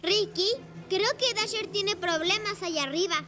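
A confident, lively young girl motorcycle in a cartoon. The voice is extremely high and chatty, full of exclamations over loud music. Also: normal speed. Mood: questioning. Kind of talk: animated children's cartoon dub.

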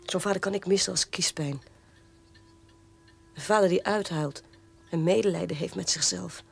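An actress playing a weary, proud sister in a radio drama, speaking in a measured way. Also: female voice, lowish voice, creaky, husky, soft background music. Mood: defensive. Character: weary, proud sister defending her disgraced father.

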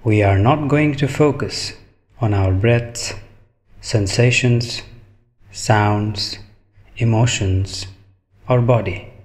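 A man leading a guided meditation in a calm, measured manner, speaking in short phrases with long pauses. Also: on the low side.

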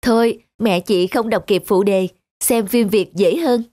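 An actress playing an affectionate, devoted young working woman in an animated drama. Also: medium pitch, measured pace, dry recording, clean studio sound, lightly acted. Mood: coaxing.

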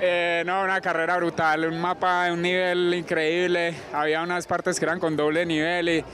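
A young man speaks in an outdoor post-race interview. His voice sits high and light, and he talks in quick, energetic bursts into a handheld mic, with faint music under him. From Colombia. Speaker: orienteering athlete. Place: outdoor sports field in a town.

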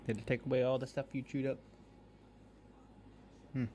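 A woman's voice: extremely low pitch, level tone, normal speed, mellow. A woman talking casually and playfully to a pet, teasing it with questions and repeated phrases. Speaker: pet owner filming a parrot. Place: indoors in a wood-paneled room at home. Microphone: handheld camera or phone behind the lens. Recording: noisy home recording with animal sounds.

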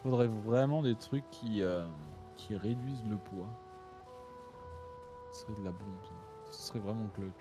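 A man's voice: fairly low pitch, measured pace. Easygoing man on voice chat. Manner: easygoing, gamer slang. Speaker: teammate. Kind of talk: casual voice chat during a game. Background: game music.

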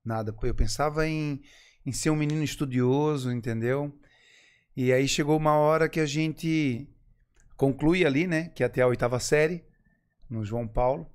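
A middle-aged man with a Florianópolis accent, a podcast guest telling stories in a playful, joking way, recorded in a small studio on a boom mic. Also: moderate pace, darkish tone, clear, resonant tone. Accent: Florianópolis Portuguese. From Florianópolis, Brazil. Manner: playful, jokey, reflective asides. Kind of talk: casual storytelling. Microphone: headset with boom mic.

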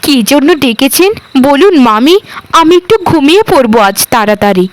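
Woman acting a plaintive, high-voiced young housemaid. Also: sing-song, moderate pace, no echo. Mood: weary complaint. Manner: plaintive, pleading, protesting. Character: overworked, pleading young orphan housemaid girl. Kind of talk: Bengali cartoon dialogue.

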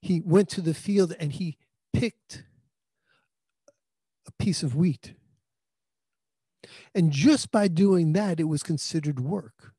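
New guy in his 50s giving a sermon, warm relaxed tone, handheld mic, talks like a conversation and restarts a lot. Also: fairly high pitch, slow pace, pauses often, slightly rough, dry recording. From New York. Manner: earnest conversational preaching with frequent restarts. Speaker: pastor. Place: small indoor room. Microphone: handheld microphone.

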